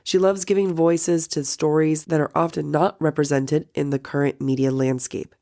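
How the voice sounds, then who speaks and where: A woman with a low-pitched voice hosts a podcast. She delivers both prepared introductions and casual conversation. The sound is dry, with very little room on it. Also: little pitch movement, moderate pace, warm tone, dry recording. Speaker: podcast host. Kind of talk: scripted introduction, then casual chat.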